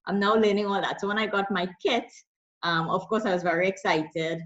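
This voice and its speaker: A woman's voice: medium pitch, steady tone, fairly quick. Friendly, chatty woman guest from Trinidad and Tobago, muffled lo-fi line. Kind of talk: casual interview chat.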